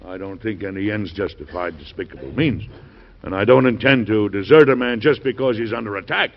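A deep, dignified old-time radio president. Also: male voice, lively intonation, a little fast. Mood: firm, resolute. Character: principled, firm, fair-minded President of the United States. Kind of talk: 1940s radio drama acting. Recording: dull old radio drama recording.

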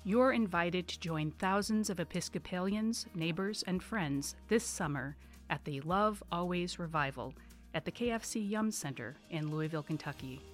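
A woman announcer reading a scripted event promotion in a warm, inviting way. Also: lowish voice, normal speed, soft background music.

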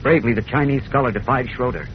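A man's voice: mid-range voice, fast talker. A man narrating a 1940s radio serial in a dramatic, scripted style. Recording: muffled, faintly noisy 1940s radio recording.

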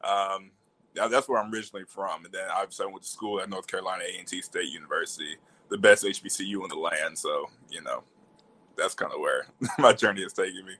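A man's voice: sits low, quick pace, bright, forceful tone, husky. A young man from Alabama chatting casually and informally as an interview guest. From Alabama, United States. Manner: informal, peppers talk with "you know". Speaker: interview guest, media professional. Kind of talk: casual chat.

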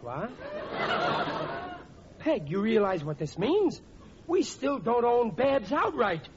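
Blustering, high-pitched working-class sitcom husband. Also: male voice, big pitch swings, slow, exaggerated voice. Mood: matter-of-fact. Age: middle-aged. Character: excitable, boastful, dim-witted working-class husband and father. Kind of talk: 1940s radio sitcom acting. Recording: muffled, noisy old 1940s radio recording.

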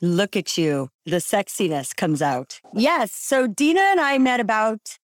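A woman hosting a podcast in casual chat, bubbly and quick to laugh. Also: mid-range voice, lively intonation, no echo, clean studio sound. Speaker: podcast host.

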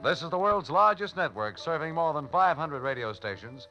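A man's voice: a little high, lively intonation, moderate pace, bright, forceful tone. A man reading closing credits as a 1940s radio network announcer. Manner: crisp, announcing. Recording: dull lo-fi broadcast with faint music.

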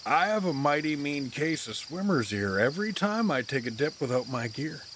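A man's voice: a little high, very animated, moderate pace, fairly bright tone, a little hiss or hum. A man playing an oafish, tipsy, oblivious middle-aged dad in a fantasy comedy audio drama, goofy and rambling. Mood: awed, rambling.

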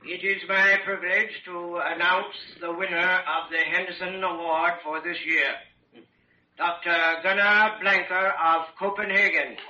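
A man's voice: high-pitched, level tone, measured pace, bright, forceful tone, exaggerated voice. An actor playing a stately committee chairman making a formal, declamatory announcement in a vintage radio drama. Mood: formal, proud. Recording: echoey hall, distant, dull vintage radio.

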